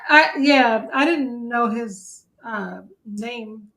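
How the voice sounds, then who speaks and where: A woman's voice: on the high side, very slow, fairly bright tone. A woman co-hosting a podcast, chatting and joking casually. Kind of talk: casual chat.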